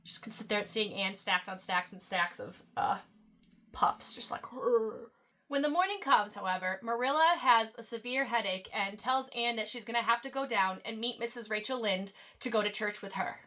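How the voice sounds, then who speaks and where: Bright, nasal young woman with a sore throat. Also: on the high side, moderate pace. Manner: chatty, playful asides, stumbles when reading aloud. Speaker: book channel host. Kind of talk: casual chapter recap with read-aloud quotes. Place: at home, talking to camera.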